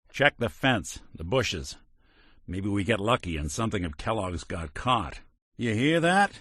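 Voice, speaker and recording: A gruff middle-aged detective who is half machine. He talks in dry wisecracks with a lowish voice that rises and falls freely. The recording is close, dead, and studio-clean. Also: fairly bright tone, dry recording, exaggerated voice. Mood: focused. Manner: dry wisecracking, world-weary. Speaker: companion character. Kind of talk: game character barks.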